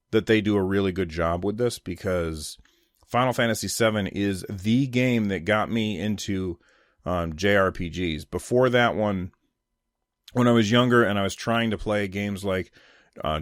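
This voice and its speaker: Low-voiced man, casual gaming commentary. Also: no room sound, studio quality. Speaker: gaming podcast host. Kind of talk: casual solo commentary on video games.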